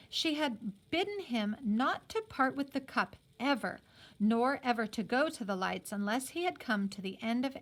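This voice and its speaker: High-voiced, very animated woman reading a story. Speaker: teacher reading a book aloud. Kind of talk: expressive story read-aloud with brief explanatory asides.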